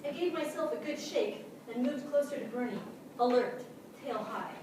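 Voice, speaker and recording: A woman in a staged reading narrating as a naive, earnest dog, with expressive comic timing. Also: high voice, moderate pace, natural voice. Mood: earnest, droll. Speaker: narrator in reader's theatre. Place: small theatre stage before an audience. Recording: very echoey theatre, distant, noisy.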